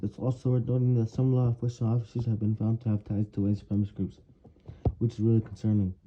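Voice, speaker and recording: A man's voice: on the low side, little pitch movement, fast, dull audio, background noise. A young man, a student, reading prepared written answers aloud in an earnest way, now and then stumbling over words.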